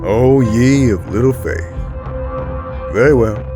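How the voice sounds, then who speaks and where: A man's voice: medium pitch, slow, over music, lightly acted. A man playing a big middle-aged crime boss in an audio drama, theatrical and condescending, with menace under a mock-friendly manner. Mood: smug, coaxing.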